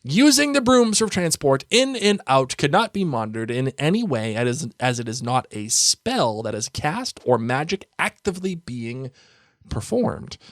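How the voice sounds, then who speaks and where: A man's voice: medium pitch, no room sound, clean studio sound. A man with an American accent hosting a podcast in a playful, bantering way, chatting casually and reading listener emails aloud. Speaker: podcast host.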